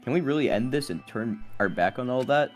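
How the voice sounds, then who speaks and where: A man's voice: mid-range voice, animated, fairly quick, natural voice. An actor playing an earnest, troubled teenage boy in a dubbed mystery video game, with music behind. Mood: brooding. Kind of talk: anime game dub, dramatic inner monologue and confrontation.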